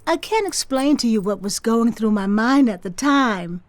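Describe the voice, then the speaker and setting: An actress plays an older woman looking back with regret in an audio drama. Her voice is high and she talks in a plainspoken, reflective way. The recording is dry and close. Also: animated, moderate pace, no room sound, lightly acted. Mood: regretful. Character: regretful, devout older woman singer recalling her past.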